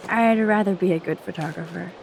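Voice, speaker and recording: A woman's voice: fairly low pitch, brisk, creaky, slight background noise. An actress voicing a thoughtful, geeky, self-doubting teenage girl in a video game, earnest and bookish with some wry humor. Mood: wistful, warm. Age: young adult. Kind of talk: naturalistic video game acting.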